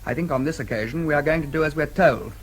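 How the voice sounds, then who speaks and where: An actor playing a courteous, dutiful British diplomat in a radio drama, speaking formally in an English accent. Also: male voice, on the high side, fast, a little hiss or hum, close to an everyday voice. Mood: resigned, firm.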